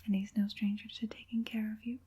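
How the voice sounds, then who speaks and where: A woman playing an evil witch puppet master in an ASMR roleplay, whispering softly in a slow, soothing and quietly persuasive way. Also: medium pitch, level tone. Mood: soft persuasion. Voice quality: breathy whisper.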